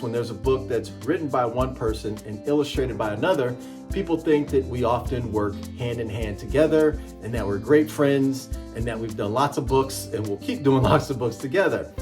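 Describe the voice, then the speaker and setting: A middle-aged man, a children's author, presenting and reading a picture book aloud with an emphatic, rhythmic delivery. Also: medium pitch.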